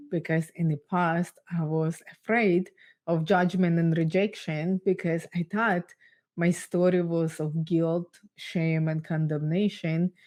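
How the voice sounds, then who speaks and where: A woman from Ukraine with a Russian accent, whose first language is Russian, giving personal testimony as an interview guest in an earnest, measured way with pauses. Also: low-pitched, darkish tone. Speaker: guest speaker.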